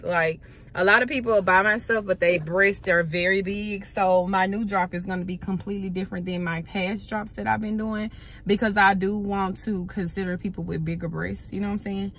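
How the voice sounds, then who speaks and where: A woman's voice: medium pitch, fairly even intonation, moderate pace, fairly bright tone. A young woman vlogging casually from her parked car, chatting and rambling to her viewers. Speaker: fitness trainer and vlogger. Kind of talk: casual vlog talk to camera.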